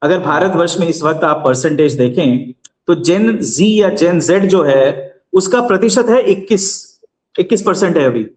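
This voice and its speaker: A man with a high voice lays out his argument like a lecture, measured and steady with small hesitations. His voice rings in a roomy, echoey space. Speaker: guest commentator. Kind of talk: explanatory political commentary.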